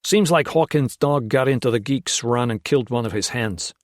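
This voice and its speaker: A man voicing a relaxed, laconic prisoner who talks easily. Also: medium pitch, normal speed, dry recording, clean studio sound, close to an everyday voice. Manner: easy, laconic. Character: easygoing American male prisoner.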